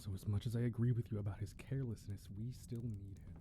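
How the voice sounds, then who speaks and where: Man acting a low-voiced, brooding crime boss. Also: steady tone, fast, mellow, slight background noise, close to an everyday voice. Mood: cold, irritated. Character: weary, menacing male crime boss, tender toward his lover. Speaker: audio roleplay voice actor. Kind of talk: ASMR roleplay acting, close and dramatic.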